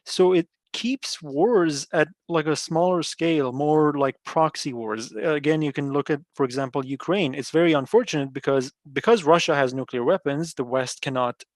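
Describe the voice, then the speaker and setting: A young man from Iran who speaks English as a talks on a video call in a fairly high voice. He is hesitant, fills gaps with "um" and "uh", and stops to look for the right words. The sound is dry and close. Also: moderate pace, few pauses, no room sound. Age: 20s. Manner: hesitant, many fillers, searching for words. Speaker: meetup participant. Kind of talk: online group discussion.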